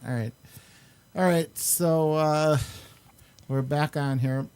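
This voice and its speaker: A man hosting a radio show in a casual, unscripted way, with halting, hesitant phrasing. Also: on the high side, steady tone, darkish tone, clear, resonant tone.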